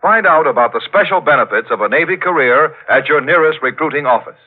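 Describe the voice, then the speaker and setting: A man's voice: a little high, expressive, quick pace. A man working as a 1940s radio announcer, reading commercial copy with polished, emphatic salesmanship. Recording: vintage 1940s radio, narrow band.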